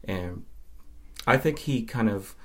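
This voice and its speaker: A man's voice: fairly low pitch, expressive, takes it slowly. A man from Nova Scotia, Canada, chatting casually as an interview guest and film fan.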